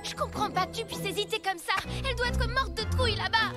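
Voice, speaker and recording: A very high girl's voice for a headstrong big sister in a cartoon. She sounds exasperated and urgent in French-accented speech, over loud music. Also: fast. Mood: indignant, urgent. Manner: indignant, urgent. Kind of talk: animated cartoon acting.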